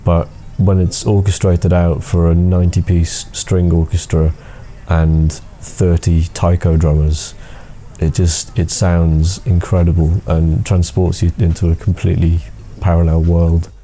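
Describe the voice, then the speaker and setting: A young man with a thick Scouse accent, a music student answering interview questions thoughtfully, pausing often with ums. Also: sits low, little pitch movement, mellow. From Liverpool. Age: young adult. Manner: thoughtful, hesitant with many ums.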